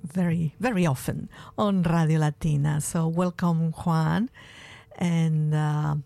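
A woman hosting a community radio music show from the studio, talking in a relaxed, friendly way with small hesitations. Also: sits low, slow pace, gravelly, no room sound. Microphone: studio microphone.

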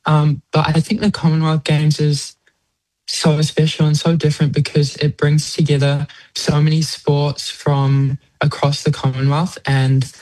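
A late-teen competitive swimmer from New Zealand with a New Zealand accent gives casual interview answers. The pitch stays fairly even and the sound is dry, with little room. The phrasing is thoughtful, dotted with "you know" and "like". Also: measured pace, no room sound, low-quality recording. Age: late teens, young adult.

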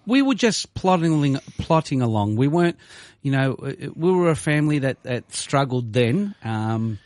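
A man's voice: mid-range voice, moderate pace, no echo. Australian man in his forties, close dry sound. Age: 40s. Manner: animated, teasing. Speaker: radio host. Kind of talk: casual bantering chat with Italian words mixed in.

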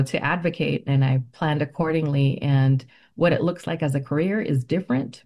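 Low-voiced, mellow woman, native Spanish speaker. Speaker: ethnic studies educator, interview guest. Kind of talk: reflective interview answers.

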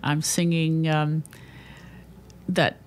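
A woman with an Australian accent, a singer chatting as a guest in a radio interview, lively and quick to laugh. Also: sits low.

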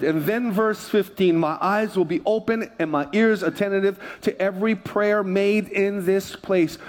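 A man from Hawaii preaching a sermon as a pastor, emphatic, repeating phrases and prompting his listeners. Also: sits high. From Hawaii, United States.

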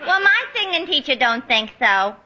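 A woman's voice: high-pitched, sing-song, normal speed, highs cut off, over a noisy crowd, heavy background noise. An actress playing a naive, brassy young woman in a 1950s radio comedy, with a dim, sing-song delivery.

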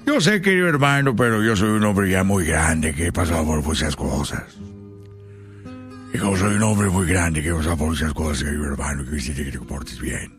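A preachy old Mexican ranchera singer in a comedy sketch. His lowish voice swoops dramatically up and down as he sermonizes, repeating himself like a nagging elder brother, with music playing behind him. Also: lively intonation, a little fast. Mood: preachy, concerned.

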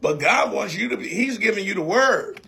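Bright, high-voiced man preaching. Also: expressive, moderate pace, vocal fry, a little hiss or hum. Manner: emphatic, repetitive preacher cadence. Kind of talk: preaching in conversation.

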